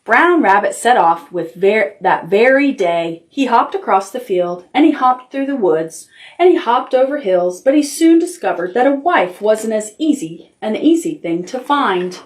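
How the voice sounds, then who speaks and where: A woman's voice: fairly high pitch, animated, a little slow. A woman hosting a toddler storytime as a children's librarian, reading aloud expressively in simple child-directed language with little asides.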